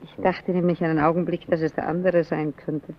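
A woman's voice: low voice, little pitch movement, brisk, slight background noise, natural voice. An actress playing a wary, frank and probing young woman in a German radio drama, on a dull old recording.

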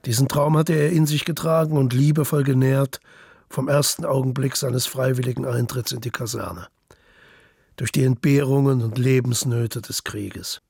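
A man with a creaky, gravelly voice, narrating a literary radio play. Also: medium pitch, fairly even intonation, moderate pace, a little mellow.

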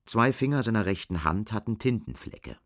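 An actor playing the sober narrator of a bleak dystopian tale in a scripted radio play. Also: male voice, lowish voice, expressive, normal speed, fairly bright tone, no room sound, clean studio sound. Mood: sober, measured.

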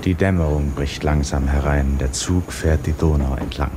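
An actor playing the literary narrator of a 1950s radio drama, telling the story in a measured manner. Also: male voice, extremely low pitch, steady tone. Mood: calm, literary. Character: literary narrator of a woman's dreamy inner story. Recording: noisy 1950s radio recording.

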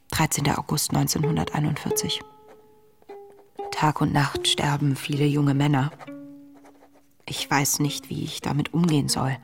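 An actress playing an earnest, introspective young woman reading her wartime diary in a radio play, measured and intimate. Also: sits low, gravelly. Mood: grave resolve. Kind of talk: radio drama diary monologue. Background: music.

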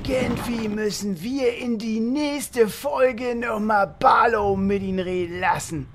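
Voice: mid-range voice, takes it slowly, background noise. A performer playing a blunt, hot-tempered uncle in a gaming guild, in a comedy audio drama. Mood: exasperated.

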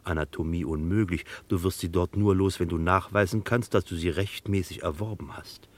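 A naive, devoted henchman with a deep voice. He is eager and deferential, easily excited and given to gushing. It sounds like vintage radio crime acting. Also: normal speed. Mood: doubtful.

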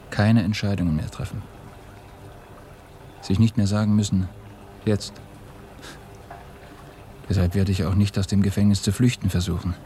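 A man acting in a German radio drama as an ashamed, despairing Russian revolutionary, halting and confessional, often hushed. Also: lowish voice, slight background noise. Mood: bitter resignation. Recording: studio radio play with music behind.

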